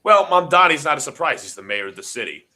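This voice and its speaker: A man's voice: fairly high pitch, quick pace. A man hosting a live stream, calling basketball play-by-play and chatting with his co-host, sounding congested from allergies. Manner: excitable, shouts on big plays. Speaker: livestream host doing play-by-play. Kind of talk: live watch-along play-by-play commentary.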